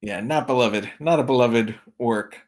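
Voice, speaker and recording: A man's voice: medium pitch, measured pace. A man chatting casually as a podcast guest and film expert, with a wry, tongue-in-cheek manner. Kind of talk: casual chat about movies.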